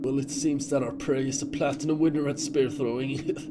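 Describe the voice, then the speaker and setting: A man's voice: medium pitch, fairly even intonation, normal speed, lightly acted. Man playing wounded, jokey winged hunter, very noisy recording. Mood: pained joking. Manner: upbeat, jokey, reassuring. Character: wounded winged Skyborn hunter, male, cheerfully joking. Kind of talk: fantasy audio drama acting.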